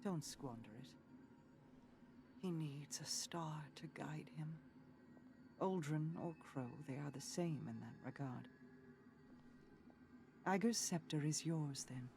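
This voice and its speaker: An actress playing a haughty, grieving queen with formal, measured, imperious diction in a video game drama. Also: sits low, background noise. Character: haughty grieving queen, regal and wounded sister. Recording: loud background music.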